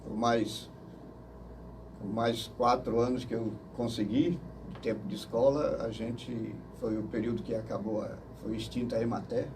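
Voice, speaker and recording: An older man from Manaus, answering interview questions slowly and hesitantly, with many fillers and restarts. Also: medium pitch, steady tone. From Manaus, Brazil. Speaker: interview guest. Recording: distant mic with faint noise.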